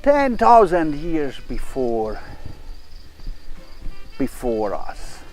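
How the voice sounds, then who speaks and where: A man with a foreign accent and non-native English, presenting a rambling, halting mock-documentary lecture. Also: fairly high pitch, wildly swooping pitch, a little mellow, soft background music. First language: not English.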